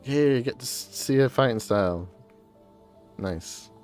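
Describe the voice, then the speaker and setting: A woman streams a game with casual, rambling commentary. Her voice is exceptionally low for a woman and her talk is informal. Faint game music sits behind her. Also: animated, measured pace, darkish tone. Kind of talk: casual livestream gameplay commentary.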